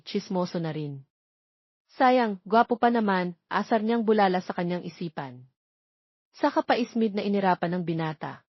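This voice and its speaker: A woman's voice: mid-range voice, husky, dry recording, highs cut off. A woman reading a scripted romance story as its narrator, close to the microphone.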